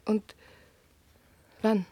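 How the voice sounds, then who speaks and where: A woman's voice: extremely slow, mellow, no room sound. A woman playing an impatient, curt and wry householder in a German radio play. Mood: wistful, wry.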